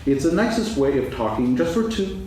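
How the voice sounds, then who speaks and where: A man playing a gentle, absent-minded, food-loving toy bear in a staged children's story reading, musing aloud in a singsong way. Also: fairly high pitch, very animated, brisk. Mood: dreamy musing. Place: stage, actors seated, live audience recording. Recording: echoey hall from far off, faint noise.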